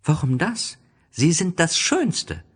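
A small, innocent prince acted out by a man in a storybook audio play. The voice sits well up in pitch and swings freely, asking everything with earnest, childlike wonder. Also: wildly swooping pitch, slow, husky, exaggerated voice. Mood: puzzled. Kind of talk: storybook audio drama acting.

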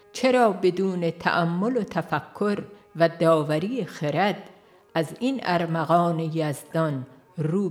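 Woman audiobook narrator, lowish register, slow reflective delivery over faint music. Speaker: audiobook reader. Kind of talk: scripted devotional reading. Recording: faint instrumental music behind.

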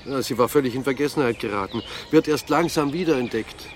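An actor playing a chatty, bookish bookseller, a detective's good-natured buddy, in a German radio crime drama. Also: male voice, mid-range voice, a little fast, slight background noise, natural voice. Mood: pondering.

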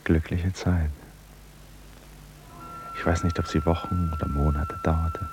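A deep, expressive, thoughtful painter. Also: male voice, over loud music, full character voice, low-quality recording. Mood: reflective, melancholy. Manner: measured, literary, thoughtful. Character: reflective, helpful male painter recalling jungle expedition. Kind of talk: German radio play narration and dialogue.

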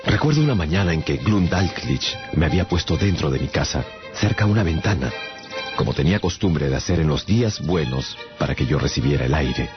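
An earnest traveller narrates his own adventures in a radio play. The voice is very low and expressive, telling it with suspense over loud music in a dulled recording. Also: a little fast. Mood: reflective. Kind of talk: dramatic first-person radio narration. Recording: dull radio drama, loud music behind.

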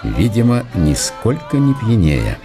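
Low, animated male narrator over music. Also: measured pace. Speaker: narrator of a radio play. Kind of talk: dramatic literary narration.

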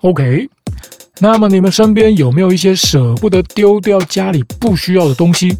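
A man hosts a children's show and walks through ideas with calm, patient explanations, like a teacher. His voice is mellow and warm, with faint music beneath it. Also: sits high, normal speed. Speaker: host, the show's dad figure.

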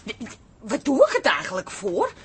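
An actress playing a down-to-earth, exasperated wife in a comic radio drama, speaking bluntly and colloquially. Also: female voice, a little high, huge pitch swings, a little fast, a little hiss or hum.

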